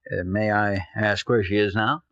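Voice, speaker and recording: An actor playing a reserved, guarded apartment house manager in a 1950s radio drama, speaking tersely. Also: male voice, lowish voice, steady tone, normal speed, a bit bright. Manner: terse, laconic. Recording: dull, hissy old radio recording.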